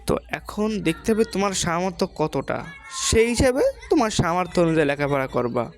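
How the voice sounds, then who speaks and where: A woman's voice: fairly low pitch, expressive, fairly quick. A woman with a Bangladeshi accent giving informal moral advice in a didactic, questioning way, repeating her points.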